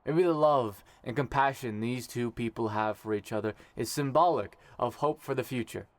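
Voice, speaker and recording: Dignified young emperor guy acting, sincere formal delivery, medium pitch, dry recording. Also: no echo, natural voice. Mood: solemn, sincere. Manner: measured, sincere. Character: warm, dignified young emperor officiating a wedding. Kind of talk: audio drama acting, formal speech.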